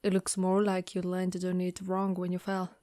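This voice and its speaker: A woman's voice: lowish voice, completely flat delivery, moderate pace, mellow, no room sound, studio quality, natural voice. A woman voicing a caring, wry female Dullahan, an undead knight, in a reassuring, teasing, conversational ASMR monster roleplay. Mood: caring, concerned. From Italy. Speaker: voice actress.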